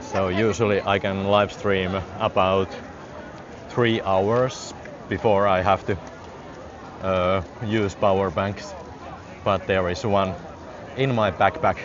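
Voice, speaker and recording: Slow, deep-voiced Finnish man vlogging. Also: muffled recording, a crowd in the background. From Finland. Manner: relaxed, chuckles often, talks to viewers. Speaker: livestream walking vlogger. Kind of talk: casual live-stream chat. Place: outdoors on a busy city street during a parade. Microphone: handheld phone.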